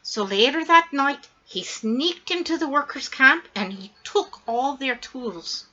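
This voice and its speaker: Female storybook reader, bright and very lively, dramatic emphasis, slightly dull recording. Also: high voice, measured pace. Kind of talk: expressive read-aloud of a children's story.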